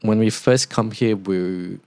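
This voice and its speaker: Low-voiced Singaporean man chatting casually. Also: slow, a little mellow, clear, resonant tone, no echo. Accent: Singaporean, partly neutralized toward Australian. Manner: rambling, lots of "like" fillers. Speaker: podcast host, international student. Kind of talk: casual podcast monologue.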